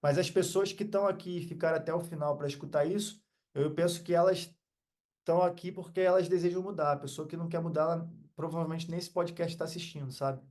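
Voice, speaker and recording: A man's voice: a little high, steady tone, quick pace, darkish tone. A Brazilian man talking as a podcast guest in a casual, heartfelt motivational chat, earnest and full of slang.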